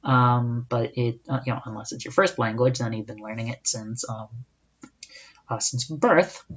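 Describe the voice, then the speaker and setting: A man's voice: mid-range voice, steady tone, normal speed, fairly bright tone. Young man, solo podcast host: loose, chatty, self-correcting, on a slightly muffled recording. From United States. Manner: casual and chatty, with rhetorical asides and self-corrections. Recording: dull lo-fi recording.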